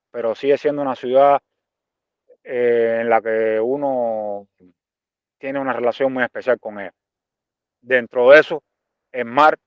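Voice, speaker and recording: A Cuban man of forty-five giving reflective interview answers haltingly, repeating phrases as he searches for words. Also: medium pitch, dry recording, lo-fi sound. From Cuba. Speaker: interview guest, visual artist and art teacher.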